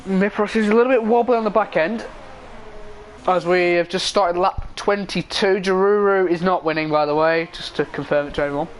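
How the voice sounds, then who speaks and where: A young man commentating an esports race in a casual style, hoarse and losing his voice, clearing his throat often. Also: high-pitched, brisk, slight background noise, low-quality recording. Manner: casual, frequent throat clearing and filler ums.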